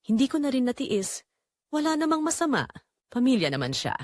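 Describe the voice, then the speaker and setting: Woman acting a lonely Filipina newlywed, lively pitch. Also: brisk, no echo, clean studio sound. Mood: uneasy, reflective. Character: lonely young newlywed wife confessing her secret. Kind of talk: scripted first-person audio drama narration.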